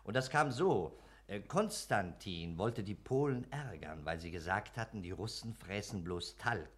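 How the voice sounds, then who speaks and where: Animated, boastful travelling merchant. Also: male voice, fairly low pitch, measured pace. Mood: storytelling. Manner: expansive, self-assured, chuckling. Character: jovial, boastful travelling wine merchant, worldly storyteller. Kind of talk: German radio drama acting.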